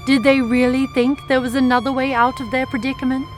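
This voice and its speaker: A woman's voice: sits high, level tone, brisk, faint music behind, natural voice. A woman playing a smug, merciless female villain in an audio drama, pronouncing things in a haughty, taunting way. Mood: smug philosophizing.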